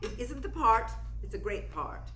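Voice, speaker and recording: A proud, sardonic stage diva acted by a woman in a radio play. Her voice is forceful and slightly high, with theatrical declarations. It echoes in the room over faint noise. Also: sing-song, normal speed. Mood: earnest, emphatic. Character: proud aging stage star, witty, dramatic, newly contented. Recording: roomy echo, faint noise.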